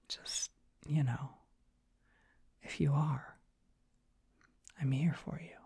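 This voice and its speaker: A performer playing a dorky, gentle young dragon boy in an ASMR roleplay, speaking in a soft breathy whisper, tender and bashful. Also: mid-range voice, no echo, exaggerated voice. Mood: tender, sheepish. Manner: tender, bashful, hesitant, softly reassuring. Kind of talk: ASMR roleplay, intimate comforting monologue.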